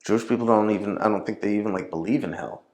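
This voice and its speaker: A man's voice: on the low side, very fast, darkish tone. Rambling man in a roomy echo. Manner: rambling, heavy on fillers and restarts. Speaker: podcast guest. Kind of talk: casual conversation.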